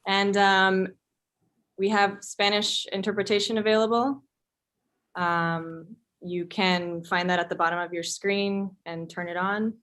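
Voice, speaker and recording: A woman with a medium-pitched voice co-hosts an online town hall as a reporter. She moves between informal welcomes and prepared introductions, speaking over a video call. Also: fairly even intonation, unhurried, a bit bright. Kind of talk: informal event hosting, partly scripted introductions.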